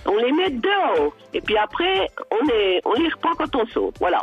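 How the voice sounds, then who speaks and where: A woman's voice: a little high, very animated, moderate pace, husky, faint music behind. A woman in her sixties from eastern France, calling a radio show and chatting with many hesitations. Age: 60s. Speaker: caller. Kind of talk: phone-in chat. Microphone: telephone. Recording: tinny phone line.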